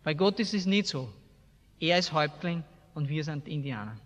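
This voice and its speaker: A man's voice: fairly high pitch, lively intonation, moderate pace, fairly bright tone. A man with an Austrian accent preaching a colloquial sermon in a blunt, emphatic way, asking rhetorical questions. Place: large hall, preaching to a seated congregation. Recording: echoey hall with long reverb.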